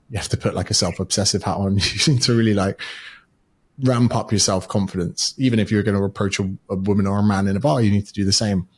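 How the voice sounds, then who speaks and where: Blunt, husky-voiced man. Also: fairly low pitch. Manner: blunt and swears freely. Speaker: guest, educator and author. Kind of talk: casual podcast conversation.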